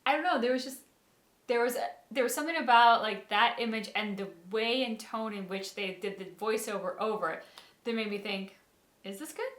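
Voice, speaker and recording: A woman's voice: fairly high pitch, brisk, fairly bright tone. Emphatic woman in an echoey room. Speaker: co-host of a game reaction show. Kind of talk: casual chat, giving opinions on a story.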